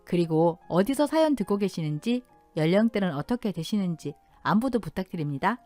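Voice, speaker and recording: A woman's voice: on the low side, expressive, fairly quick, dark tone, soft background music. A woman reading a scripted first-person family story as a radio drama narrator.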